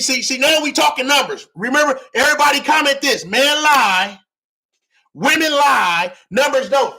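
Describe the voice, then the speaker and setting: A man giving a fired-up livestream lecture, loud and often yelling, repeating phrases and asking the audience to answer, his voice strained from shouting. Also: extremely high pitch, poor audio. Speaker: livestream real estate teacher.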